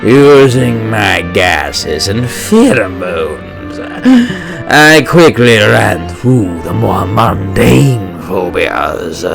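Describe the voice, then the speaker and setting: A man voicing a sadistic, theatrical supervillain obsessed with fear, gravelly and menacing, in a comic dub. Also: fairly low pitch, expressive, music in the background, heavily acted. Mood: sinister relish. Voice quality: gravelly, creaky. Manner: slow, menacing, theatrical, savouring words. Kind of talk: comic dub villain monologue. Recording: orchestral score behind voice.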